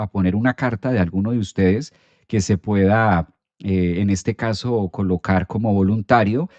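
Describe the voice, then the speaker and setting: A man giving a calm, explanatory astrology talk during a live stream, with an occasional filler "eh". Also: on the low side, dry recording, lo-fi sound.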